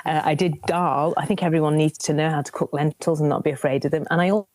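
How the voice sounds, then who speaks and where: A woman, a cookery presenter telling stories in an animated way with many restarts during a radio interview. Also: deep voice, fast talker, no room sound. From Lancashire, England. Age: 50s.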